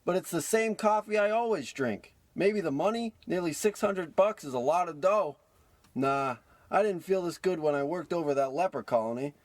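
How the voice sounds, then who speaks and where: A man's voice: fairly high pitch, animated, normal speed, no echo, close to an everyday voice. A man playing a brash, scheming small-time American huckster with slangy old-timey patter in a parody radio play. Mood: amazed, restless.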